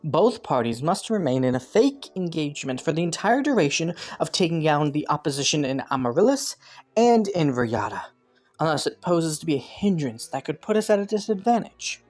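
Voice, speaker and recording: A woman's voice: low-pitched, sing-song, fairly quick, a little mellow. A woman playing a young scheming prince in a romantic audio roleplay, courteous and formal, with flustered stammers. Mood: businesslike, composed.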